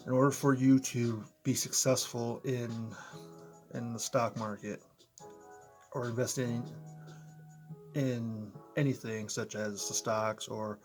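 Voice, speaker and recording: Man, slightly hoarse, casual and conversational, close to the camera, mid-range voice, faint music behind. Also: medium pitch. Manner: conversational, talks straight to viewers, frequent "you know" and "right?". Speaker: motivational vlogger. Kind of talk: casual explainer vlog. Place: his apartment, indoors. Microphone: close to camera, in front of computer.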